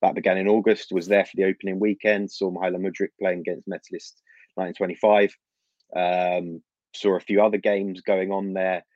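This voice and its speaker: A young man with a London accent and a very low, deep voice talks through his work as a guest journalist, measured and explanatory, with small laughs. The sound is dull, dry and lo-fi, as if from a video call. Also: level tone, a little mellow, dry recording. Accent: London English. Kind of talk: casual interview chat.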